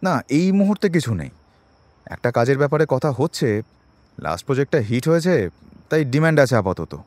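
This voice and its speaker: A man's voice: mid-range voice, big pitch swings, a little fast, darkish tone, dry recording. An actor in an audio drama playing a defensive middle-class man who explains himself at length to the police, mixing in English words. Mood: defensive, uneasy. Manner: wordy, self-justifying, mixes in English words.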